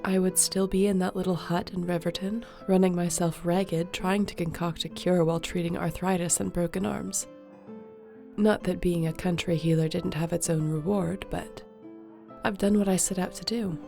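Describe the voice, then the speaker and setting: A court sorceress speaking gently to a companion, performed by a woman. Her voice is lowish and mellow, with soft music playing underneath. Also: steady tone, moderate pace, gravelly. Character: warm, loyal female court sorceress. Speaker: audio roleplay narrator. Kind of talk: scripted audio roleplay.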